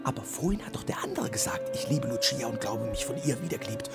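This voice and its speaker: An actor playing a plodding, good-natured provincial husband in a radio play, earnest and a little fussy. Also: male voice, mid-range voice, sing-song, quick pace, lightly acted. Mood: puzzled. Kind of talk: German radio play acting. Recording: loud music behind the voice. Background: loud music.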